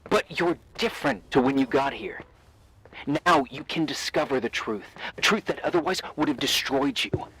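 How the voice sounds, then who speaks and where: A man's voice: medium pitch, animated, normal speed, pushed and bright, low-quality recording. A man playing an earnest, reproachful character in tense video game dialogue, insistent and confrontational, with some noise behind him. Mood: insistent, defensive. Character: earnest, reproachful man defending his deception.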